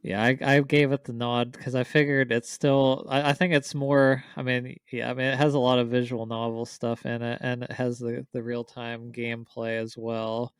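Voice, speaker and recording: A man's voice: mid-range voice, little pitch movement, normal speed, hardly any pauses, clean tone, dry recording, clean studio sound. A man co-hosting a podcast, chatting casually in a discussion. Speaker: podcast co-host.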